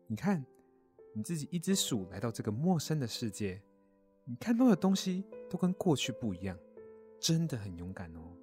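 A man voicing a kindly grown-up mole in a children's audio story, gentle, caring and reassuring. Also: mid-range voice, very animated, moderate pace, faint music behind, lightly acted. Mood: encouraging.

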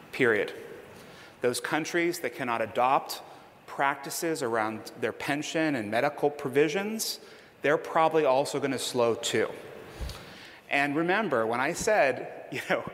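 A man's voice: mid-range voice, fairly bright tone. A man giving a conference presentation in an emphatic, persuasive way, then taking audience questions. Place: conference hall. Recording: reverberant hall, faint background noise.

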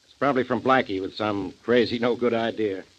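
An actor playing a frustrated, hollering American police inspector in a gruff 1940s radio drama style. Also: male voice, lowish voice, steady tone, fairly quick, lightly acted. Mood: impatient. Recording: dull vintage 1940s radio recording.

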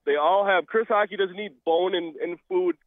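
A man's voice: high-pitched, sing-song, moderate pace, dry recording. A man calling in to a radio show over a muffled line, chatting and joking casually. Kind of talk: casual chat. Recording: dull, lo-fi call-in line.

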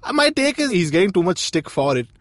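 A man's voice: high-pitched, big pitch swings, fast, fairly bright tone, no echo. A man, a comedian guest chatting casually and poking fun at himself. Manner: laid-back, self-mocking. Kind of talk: casual chat.

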